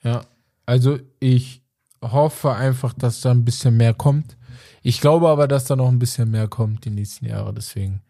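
A man co-hosting a casual podcast, talking in a rambling, animated way and searching for words as he goes. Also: mid-range voice, normal speed, vocal fry. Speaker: podcast co-host. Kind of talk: casual chat.

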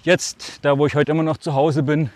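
A man's voice: fairly high pitch, flat delivery, fairly quick, darkish tone. A man in his forties from Germany with a northern German accent with a slight Swabian touch, talking as a podcast guest in a reflective, storytelling way. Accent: Altmark, northern Germany, slightly Swabian. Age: 40s. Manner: reflective, speaks in short phrases, often draws words out. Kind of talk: casual storytelling.

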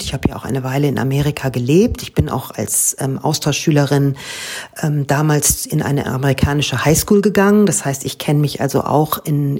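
A woman's voice: low voice, a little mellow, breathy edge. A woman translator answering students' interview questions, explaining her work thoughtfully at length with frequent hesitations.

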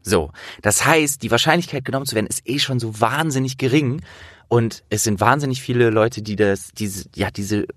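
A man's voice: mid-range voice, moderate pace, a bit bright, no echo, studio quality. A man hosting a casual interview, talking in a rambling, storytelling way.

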